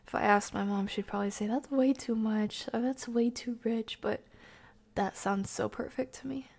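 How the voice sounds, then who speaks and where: A woman live streamer chatting casually with viewers, soft-spoken and often slipping into a breathy near-whisper. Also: a little high, fairly even intonation, moderate pace. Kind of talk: casual livestream chat with viewers.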